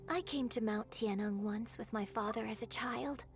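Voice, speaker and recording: Woman acting elegant young game storyteller, highish voice, slight creak, eloquent, theatrical, music underneath. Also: moderate pace, close to an everyday voice. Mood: wistful, admiring. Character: refined, poetic young female opera storyteller.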